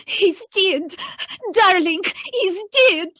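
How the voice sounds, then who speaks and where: A woman's voice: very high voice, extremely slow, sharp tone, no echo, studio quality, exaggerated voice. A woman playing a melodramatic, exotic femme fatale with formal, stilted phrasing in a noir audio drama. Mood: distraught.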